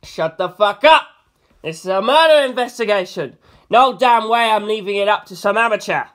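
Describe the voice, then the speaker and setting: Audiobook creature role: blustering gruff male cop spirit acted by the narrator, loud, slangy, brash. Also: medium pitch, moderate pace, voice pushed up, lightly acted. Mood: angry, shouting.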